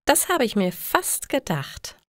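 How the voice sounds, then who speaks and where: A woman's voice: a little high, sing-song, slow pace, dry recording, studio quality, voice pushed up. A woman voicing an affectionate, cheerful wife in a storybook, coaxing and carefully enunciated. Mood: playful, tender.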